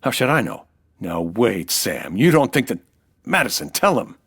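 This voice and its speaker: An actor playing a hard-boiled, cynical American nightclub owner in a dry, clipped detective radio drama style. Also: male voice, mid-range voice, very animated, normal speed, a bit bright, husky, exaggerated voice. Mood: defensive. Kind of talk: 1940s detective radio drama narration and dialogue.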